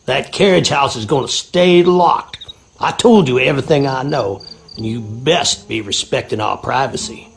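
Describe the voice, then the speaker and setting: A male actor as a rustic groundskeeper from a mystery game, with a gravelly, creaky voice at middling pitch. He has a Southern American drawl and a guarded, wary way of talking. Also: moderate pace, slight background noise, close to an everyday voice. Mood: guarded, uneasy. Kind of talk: video game character acting.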